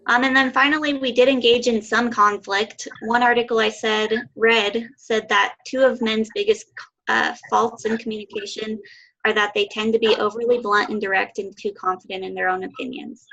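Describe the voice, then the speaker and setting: A young woman giving a class presentation over a video call, with a high voice and a slight lisp. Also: fairly even intonation, moderate pace, fairly bright tone, muffled recording. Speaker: student presenter.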